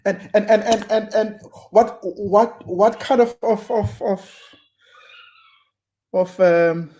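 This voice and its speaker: A male host asks casual interview questions. His voice is high for a man, and he stammers and repeats words before he gets each question out. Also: darkish tone.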